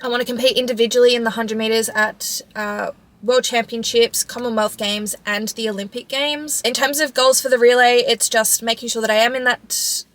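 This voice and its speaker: A woman's voice: a little high, fairly even intonation, moderate pace, fairly bright tone. A woman in her mid twenties with an Australian accent, chatting casually in a vlog Q&A, informal, with frequent "like" and trailing phrases. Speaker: vlogger and sprinter answering audience questions.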